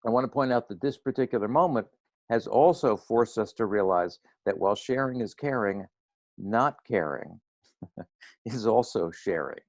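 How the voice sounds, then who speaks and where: An older man with a hoarse voice from laryngitis, giving an earnest, wry talk on a video call. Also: lowish voice, lively intonation, moderate pace, a little mellow, no room sound, studio quality. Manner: earnest, slightly preachy, wry. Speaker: guest speaker. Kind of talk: roundtable talk.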